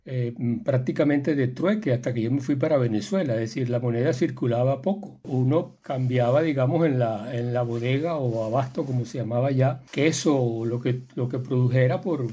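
An older man from the Canary Islands recalls his life in a mellow, warm voice. He talks in a reflective, rambling way, restarting phrases and often adding a questioning "no?" at the end. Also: medium pitch, moderate pace, hardly any pauses, slightly rough. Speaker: guest filmmaker. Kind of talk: relaxed storytelling.

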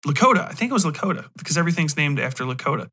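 A man's voice: on the high side, sing-song, rapid-fire, bright, forceful tone, husky. An American man hosting a podcast in a casual, comedic rant, digressing often, swearing and chuckling as he talks. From United States, Ohio. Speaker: podcast host.

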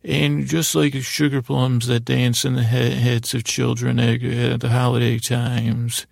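A man improvising a rambling, whimsical bedtime monologue as a host, halting and stammering, often repeating his words. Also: mid-range voice, flat delivery, creaky, no room sound. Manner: whimsical, lightheartedly silly. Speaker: podcast host telling bedtime stories.

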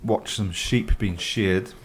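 A man's voice: fairly low pitch, steady tone, takes it slowly, a little hiss or hum. A Welsh man co-hosting a podcast, chatting in a rambling, laid-back, self-mocking way. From Wales. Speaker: podcast co-host. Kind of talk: casual chat.

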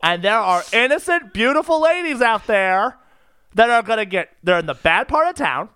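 Guy cohost joking around, super animated and over the top, dry close recording. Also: high voice, normal speed, clear, resonant tone, dry recording. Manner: theatrical mock indignation and exaggeration. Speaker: comedy podcast co-host. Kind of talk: casual comedic banter.

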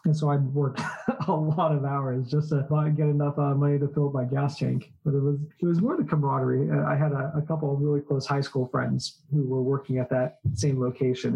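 A man in his forties from Southern California, a guest telling stories in a relaxed, easygoing way with lots of fillers. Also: medium pitch, fairly quick, creaky, breathy edge, lo-fi sound. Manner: relaxed storytelling, many fillers. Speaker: podcast guest, entrepreneur. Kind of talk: casual interview chat.

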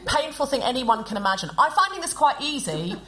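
A woman's voice: high voice, very animated, brisk, sharp tone. A woman hosting a comedy podcast before a live audience, chatty and self-mocking.

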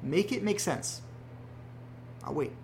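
A man's voice: sits high, lively intonation, slow pace, darkish tone, a little hiss or hum. A man playing a young guy in a horror audio drama who talks sarcastically to his listeners and rambles with fillers. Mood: sarcastic. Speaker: narrator and protagonist.

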